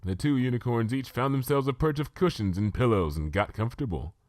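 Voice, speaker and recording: Guy reading a fanfic story out loud as narrator, dry close sound. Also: mid-range voice, expressive, brisk, no room sound. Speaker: narrator of a fan fiction reading.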